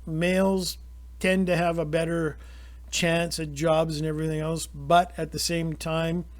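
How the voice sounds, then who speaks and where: A man's voice: on the high side, flat delivery, unhurried. A middle-aged man with a Canadian accent, talking through his opinions in a rambling, indignant monologue full of restarts. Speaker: channel host.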